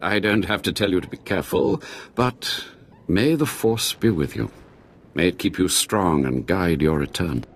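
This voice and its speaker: An actor playing a devout, shrewd cult scholar in a video game, measured and quietly conspiratorial as he persuades. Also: deep voice, lively intonation, background noise. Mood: grateful, solemn. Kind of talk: video game cutscene acting.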